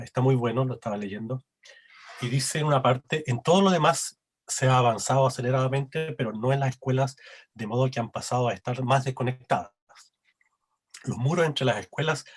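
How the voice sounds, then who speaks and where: Hesitant man moderating, slightly husky. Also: mid-range voice. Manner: hesitant, lots of fillers and tag questions. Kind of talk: moderating a panel.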